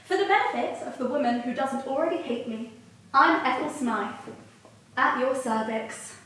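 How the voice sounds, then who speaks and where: A woman's voice: high-pitched, animated, normal speed, fairly bright tone. A woman playing a brash, cheeky suffragette composer in a comic staged reading, with wry, swaggering banter. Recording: very echoey room, distant mic, noise.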